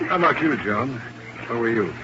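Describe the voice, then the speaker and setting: A man's voice: medium pitch, big pitch swings, brisk, lightly acted. A man playing a cool, tough insurance investigator, clipped and sardonic, in 1950s radio detective style. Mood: probing, dry. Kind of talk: 1950s American radio detective acting and narration. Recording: dull, noisy vintage radio recording.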